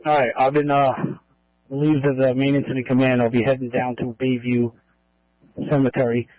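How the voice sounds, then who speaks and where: A man working as a police commander, speaking tersely and haltingly over a two-way radio. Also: mid-range voice, little pitch movement, no room sound. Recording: dull lo-fi police scanner radio.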